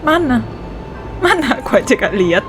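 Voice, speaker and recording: A woman's voice: high-pitched, big pitch swings, brisk, over music. A woman with an Indonesian accent giving casual, reactive playthrough commentary, chatting back at game characters. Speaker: gaming streamer. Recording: clear game noise behind voice.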